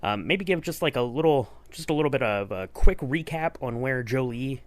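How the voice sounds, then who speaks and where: A man with a medium-pitched voice hosts a casual interview in a dry, close recording. He feels his way into his phrases and keeps returning to upbeat approval of his guest. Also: animated, moderate pace, no echo. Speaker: podcast host.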